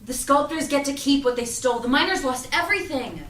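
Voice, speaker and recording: A young woman playing an earnest, self-doubting young shop worker in an audio drama read-through, speaking in an informal, expressive way. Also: high-pitched, brisk. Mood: indignant. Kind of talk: audio drama cast reading. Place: echoey room at a cast read-through. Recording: roomy, echoing, distant mic, background noise.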